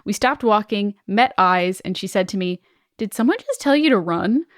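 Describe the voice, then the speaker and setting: Woman hosting a podcast, reads stories out and chats, pretty animated delivery, mid pitch, super dry audio. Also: normal speed, no room sound. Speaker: podcast host. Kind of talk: reading listener stories aloud, casual chat.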